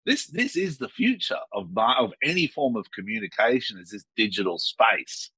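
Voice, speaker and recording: A man's voice: lowish voice, very animated, moderate pace, sharp tone, husky, dry recording. A man hosting a casual interview, upbeat and chatty. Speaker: host.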